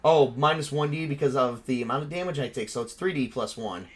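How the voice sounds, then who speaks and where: A man casually narrating a tabletop role-playing game as game master, excitable and quick to swear. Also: medium pitch, breathy edge.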